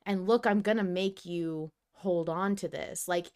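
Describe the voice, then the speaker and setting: Smooth, low-voiced woman podcast host. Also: fairly even intonation, a little slow, darkish tone. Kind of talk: casual fan podcast discussion.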